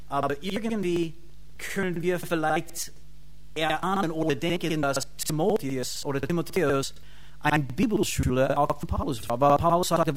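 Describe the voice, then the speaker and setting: A man with an American accent, a native English speaker, preaching a sermon in a learned language, halting and restarting as he searches for words, with fervent bursts of praise. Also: fairly high pitch, rough recording. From United States. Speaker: pastor and Bible school teacher.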